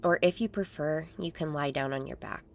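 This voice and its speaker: A woman narrating a guided meditation in a calm, soothing, measured way with long pauses. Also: sits low, gravelly. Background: meditation music.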